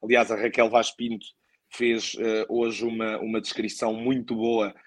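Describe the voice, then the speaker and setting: A man's voice: fairly low pitch, level tone, normal speed, no echo. A man with a European Portuguese accent answering in a podcast conversation as a guest, thoughtful and hesitant, often repeating words for emphasis. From born in Angola, moved to Portugal very young. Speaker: guest, football coach.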